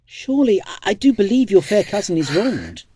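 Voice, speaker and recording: A man playing an ardent, witty soldier in love, earnest and husky, in a Shakespearean radio play. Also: high voice, sing-song, normal speed, dark tone, full character voice. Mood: tender earnest. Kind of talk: Shakespearean radio drama acting.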